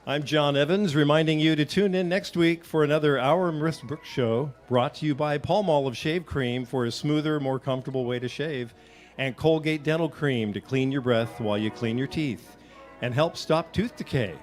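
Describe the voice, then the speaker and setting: A man's voice: on the high side, normal speed, faint music behind. A man announcing in old-time radio style, reading sponsor copy and credits with a formal, salesmanlike delivery.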